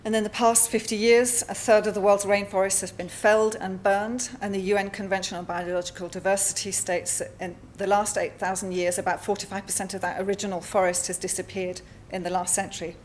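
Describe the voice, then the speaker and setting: Spanish-accented woman presenting, halting delivery. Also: mid-range voice, level tone, a little hiss or hum. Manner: halting, with frequent fillers and self-corrections. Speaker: artist presenting at a symposium. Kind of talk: conference talk with slides. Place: lecture hall.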